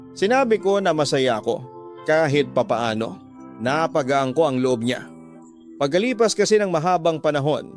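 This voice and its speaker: Filipino guy doing earnest radio drama storytelling, mid voice, music playing behind him. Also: mid-range voice. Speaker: radio drama storyteller reading a listener's letter. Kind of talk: dramatic scripted first-person narration, mixing Tagalog and English.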